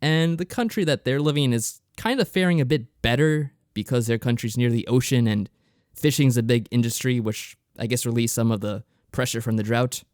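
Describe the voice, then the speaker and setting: A man's voice: medium pitch, brisk, a little mellow, clean tone, no room sound, clean studio sound. A man co-hosting a podcast, explaining things in a casual, loosely phrased review style. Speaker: podcast co-host.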